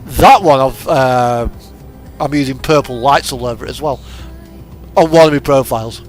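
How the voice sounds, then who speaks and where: A man's voice: medium pitch, normal speed. A man streaming a truck driving game, giving easygoing, rambling casual commentary and chatting to viewers. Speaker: livestreamer playing a truck driving simulator. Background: background music and game truck engine.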